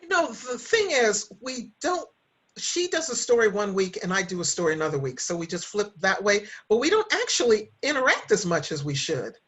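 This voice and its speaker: A woman with a medium-pitched, slightly raspy voice chats as a podcast guest. Her delivery is animated and anecdotal, with big swings in intonation and an easy conversational style. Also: normal speed. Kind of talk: casual chat, anecdotal.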